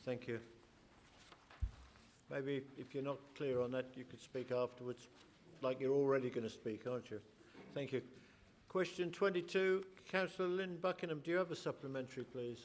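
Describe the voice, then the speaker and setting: A man chairing a council meeting, calling on speakers in a brisk, firm procedural tone. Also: medium pitch, slight background noise.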